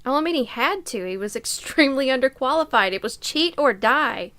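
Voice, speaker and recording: A woman's voice: high-pitched, expressive, fairly quick, bright, forceful tone. A woman co-hosting a podcast, talking in a casual, conversational style. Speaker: podcast co-host. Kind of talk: casual chat.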